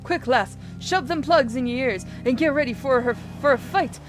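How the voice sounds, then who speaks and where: A woman's voice: high voice, moderate pace, music in the background, lightly acted. A woman voicing a superstitious old sailor man in dramatic, piratical dialect, reading out game dialogue. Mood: urgent, excited.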